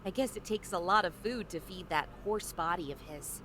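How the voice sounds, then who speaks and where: A woman's voice: lowish voice, moderate pace, fairly bright tone, a little hiss or hum, close to an everyday voice. An actress voicing a cheerful young woman adventurer in a game, talking in a friendly, chatty way. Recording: game audio with faint music.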